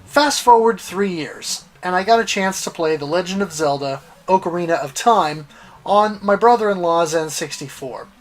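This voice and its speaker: A middle-aged man with a fairly high voice delivers scripted personal narration as a show host. His delivery is deliberate and theatrical, leaning on emphatic pauses. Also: soft background music, poor audio. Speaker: host of a retro gaming series.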